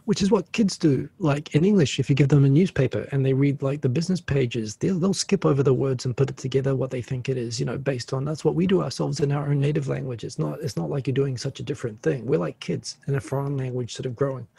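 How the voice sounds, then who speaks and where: Man, podcast guest, explanatory casual chat, gravelly, husky, dry sound. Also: mid-range voice, dark tone, no echo. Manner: explanatory, knowledgeable, quick asides. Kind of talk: casual conversation over a call.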